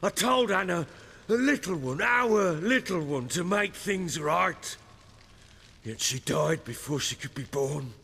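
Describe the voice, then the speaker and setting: An actor playing a grief-stricken, guilt-ridden lord in a video game, bitter and hot-tempered, with a coarse, dramatic delivery. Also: male voice, high voice, expressive, slow, vocal fry, sharp tone, husky, long reverb tail, exaggerated voice. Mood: grieving, desperate. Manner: anguished, dramatic, coarse-mouthed.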